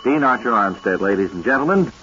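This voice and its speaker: A man playing a patient, puzzled radio interviewer in a comedy sketch, speaking with hesitant, deadpan courtesy. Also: medium pitch, fast talker, noisy background, close to an everyday voice. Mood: polite puzzlement. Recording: muffled vintage radio recording.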